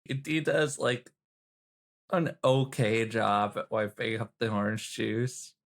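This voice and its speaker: Woman, creaky voice, playful, teasing, informal improvised roleplay chat. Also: very deep voice, fairly even intonation, takes it slowly. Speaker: player in a tabletop roleplay podcast.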